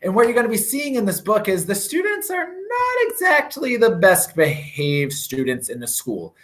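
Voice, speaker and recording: A man reading a storybook aloud for children, with theatrical emphasis and drawn-out words. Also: sits high, huge pitch swings, a bit bright.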